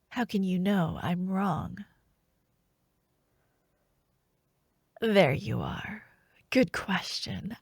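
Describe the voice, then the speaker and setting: A woman playing a scheming young royal princess in an intimate ASMR fantasy roleplay, speaking in a hushed, teasing, haughty way. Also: medium pitch, slightly rough, no echo, studio quality, heavily acted. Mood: coaxing, conspiratorial.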